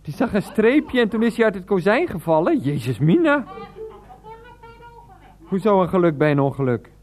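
Flustered son Freek. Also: male voice, high voice, very animated, moderate pace, a little mellow. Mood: bewildered. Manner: stammering, exasperated, bursts into laughter. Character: flustered adult son Freek phoning his mother. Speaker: character in a radio drama. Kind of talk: 1990s radio play acting.

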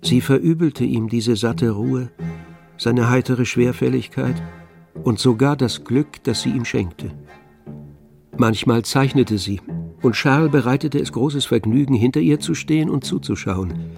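A man narrating a literary radio play in a measured, composed manner. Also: mid-range voice, creaky, darkish tone, soft background music.

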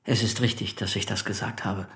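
A man's voice: lowish voice, little pitch movement, fairly quick, vocal fry, pushed and bright. An actor playing a meek, cornered man of forty in a German radio play, subdued, deferential and hesitant. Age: 40s. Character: meek, cornered forty-year-old male stamp collector.

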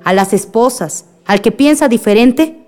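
Radio drama: actress as earnest village woman addressing her assembly, measured and solemn, fairly high voice, music underneath. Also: lively intonation, a little mellow. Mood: earnest, sorrowful. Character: Alicia, grieving village woman, earnest community peacemaker.

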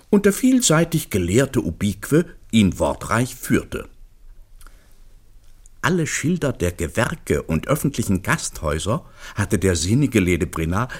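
A man's voice: lowish voice, darkish tone. A man narrating a literary radio drama from a script.

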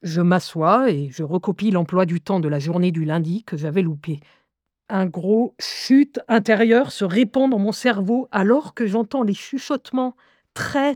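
A wry, expressive schoolgirl, played by a woman, tells her own story in an audio drama. The voice is medium-pitched and confiding, recorded very dry and close. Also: no echo, lightly acted. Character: lively eleven-year-old schoolgirl recounting life with inner voices. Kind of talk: first-person audio drama narration.

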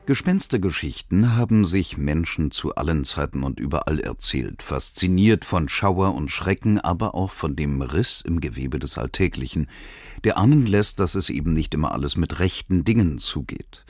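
Very deep-voiced man, dry studio sound. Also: animated, moderate pace, slightly rough, dry recording. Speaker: radio presenter introducing a literary reading. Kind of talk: scripted narration, radio introduction.

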